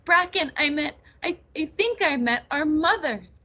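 A woman's voice: high voice, very animated, moderate pace, bright, forceful tone, pitched above the performer's own voice, heavily acted. A woman voicing an anxious, impulsive young witch girl in a storybook, breathless and pleading. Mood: tearful, distraught.

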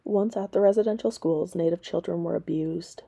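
A woman reading aloud scripted story and history narration for listeners in a measured, plain way. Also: fairly low pitch, gravelly, warm tone, slightly rough. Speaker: storybook reader and history presenter.

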